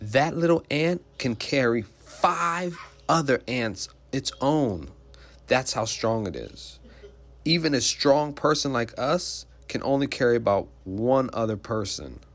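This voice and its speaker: Slow-paced man reading aloud to children. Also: mid-range voice, expressive. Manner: simple, explanatory, addressing children with questions. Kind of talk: children's picture book read-aloud with simple asides.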